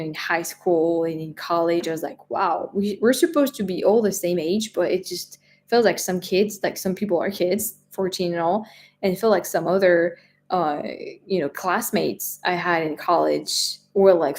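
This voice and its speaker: Woman, 20s, French accent, slightly low voice, easygoing live-stream chatter who rambles. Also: a little mellow. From France. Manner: chatty, rambling, lots of fillers and restarts. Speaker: travel vlogger answering live chat. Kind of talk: casual live-stream chat.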